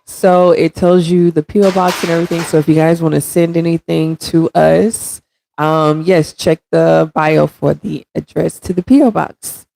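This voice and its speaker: A woman in her thirties hosting a casual livestream, congested and with a raspy throat, chatting directly with viewers and reading their comments. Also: sits low, steady tone, normal speed, warm tone, rough recording. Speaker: stream host.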